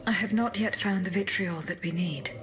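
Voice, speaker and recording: A reserved, courteous automaton bodyguard played by a woman from a video game. She speaks in measured, formal phrases, always inquiring politely. There is clear noise behind the voice. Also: fairly low pitch, natural voice. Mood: composed, inquiring. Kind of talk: video game dialogue acting.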